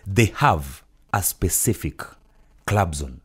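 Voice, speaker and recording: A man talking on a radio panel in a radio studio, animated and tripping over repeated words as he restarts his sentences. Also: low-pitched, slow pace, breathy edge. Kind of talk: casual radio talk.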